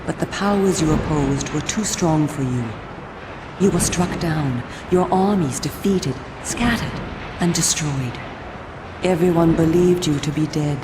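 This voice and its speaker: An actress voicing a solemn, coolly persuasive female vampire resistance agent in a video game, speaking in a formal, measured, theatrical way. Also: sits low, echoey room, noisy background. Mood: grave, expository. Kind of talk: scripted video game cutscene acting.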